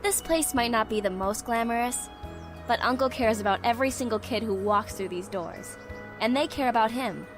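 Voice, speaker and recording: A woman playing a prickly but secretly soft-hearted young woman in a visual novel game, with a guarded, defensive manner. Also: a little high, moderate pace, over music, close to an everyday voice. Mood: earnest, softened. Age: young adult.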